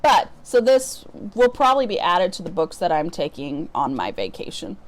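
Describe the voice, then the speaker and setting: A woman's voice: fairly low pitch, big pitch swings, normal speed. A woman from the US Midwest chatting casually as a book vlogger, rambling and breaking off her own sentences as she goes. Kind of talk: casual unboxing vlog chat.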